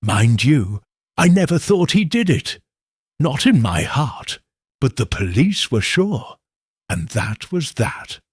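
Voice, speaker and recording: A man's voice: medium pitch, very animated, takes it slowly, husky, no room sound, clean studio sound, exaggerated voice. Man as folksy, confiding old housekeeper woman. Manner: folksy, confiding, dialect phrasing. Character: stout grey-haired woman housekeeper, loyal, sorrowful, confiding.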